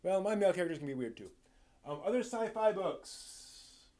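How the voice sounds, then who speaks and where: A man's voice: sits high, animated, a little mellow. A man hosting a live stream, talking casually off the cuff to viewers, often rephrasing as he thinks aloud. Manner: halting, thinking aloud, rephrasing mid-sentence.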